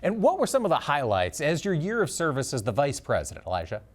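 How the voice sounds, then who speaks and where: Lively-voiced male farm news host. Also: fairly high pitch, quick pace. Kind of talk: broadcast interviewing.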